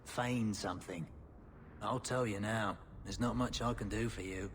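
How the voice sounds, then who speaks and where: Man acting a shifty informant, lowish voice, offhand, grudging, video game dialogue, long reverb. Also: steady tone, a little slow, lightly acted. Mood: wary, grudging. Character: shifty, grudging male underworld contact. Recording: long reverb, faint noise.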